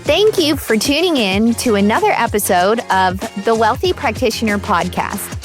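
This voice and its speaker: A woman's voice: a little high, lively intonation, moderate pace. A woman podcast host reading a polished, scripted outro. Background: music.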